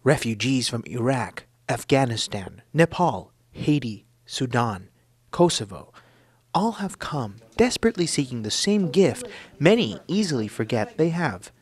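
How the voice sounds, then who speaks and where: A man reading scripted documentary narration in a measured, earnest way. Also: medium pitch, big pitch swings, slightly rough, dry recording.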